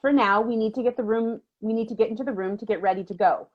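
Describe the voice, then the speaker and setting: A woman playing a stern, condescending elementary school teacher with a husky voice and brisk manner, in a dramatic novel reading. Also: fairly high pitch, natural voice. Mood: patronizing, dismissive. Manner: brisk, disapproving, patronizing. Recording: dull, lo-fi sound.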